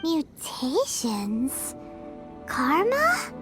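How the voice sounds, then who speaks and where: A woman voicing a tiny, excitable childlike fairy companion in a video game, squeaky, chirpy and dramatic. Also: extremely slow, over music, exaggerated voice. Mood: curious, sympathetic. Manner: chirpy, dramatic, refers to self in third person.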